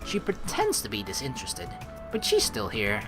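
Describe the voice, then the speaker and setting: A woman hosting a game playthrough, reading narration aloud and adding casual commentary in a playful, expressive manner. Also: very deep voice, moderate pace, music in the background. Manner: playful, expressive, acts out lines. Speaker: let's play host.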